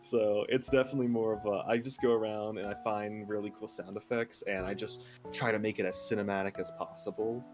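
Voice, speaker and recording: A man's voice: fairly low pitch, steady tone, a little fast, hardly any pauses, over music. A man chatting casually as a stream guest, slangy, informal and enthusiastic in the way he talks. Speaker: stream guest, ASMR voice actor. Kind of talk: casual chat.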